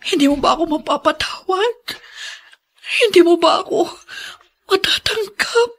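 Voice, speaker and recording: A woman's voice: very high voice, animated, normal speed, fairly bright tone. A woman with a Filipino accent playing a remorseful, imploring mother in a melodramatic radio drama. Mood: pleading. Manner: tearful, imploring. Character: remorseful, pleading mother of a grown daughter.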